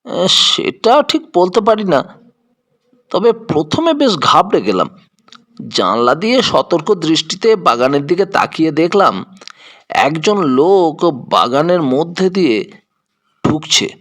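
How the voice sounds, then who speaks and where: A man's voice: fairly high pitch, big pitch swings, slow pace, long pauses, fairly bright tone, heavily acted. A man playing an evasive, haughty male suspect with a falsely genial, hesitant, stammering manner in a detective audio drama. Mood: hesitant, nervous. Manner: hesitant, stammering with filler sounds.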